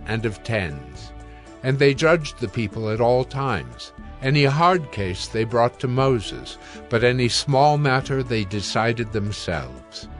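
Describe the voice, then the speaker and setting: A man narrating dramatized scripture in a measured, solemn, clearly phrased way. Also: medium pitch.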